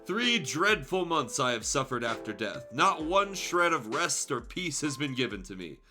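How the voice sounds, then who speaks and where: Tormented ghost acted by a man, melodramatic, solemn moaning, bright forceful tone, music behind. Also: fairly high pitch, very animated, moderate pace, heavily acted. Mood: mournful moaning. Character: tormented male ghost in chains, mournful, scornful. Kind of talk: dramatic fan fiction read-aloud.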